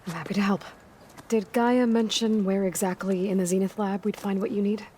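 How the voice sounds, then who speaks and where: A woman's voice: mid-range voice, steady tone, a little fast, warm tone, slightly rough, lightly acted. A woman playing a direct, practical young hunter. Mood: curious. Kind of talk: video game cutscene dialogue.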